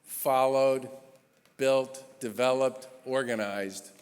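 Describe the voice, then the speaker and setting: A man in his sixties, a state governor, giving an earnest, emphatic introduction speech with measured pauses at a gala. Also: mid-range voice, steady tone. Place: large historic church. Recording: long reverb of a large church hall.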